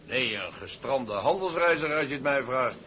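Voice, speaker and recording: A man's voice: mid-range voice, animated, normal speed, sharp tone. A man playing a plain-spoken, dismissive tug officer, blunt and matter-of-fact, in a period drama. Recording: clear background ambience noise.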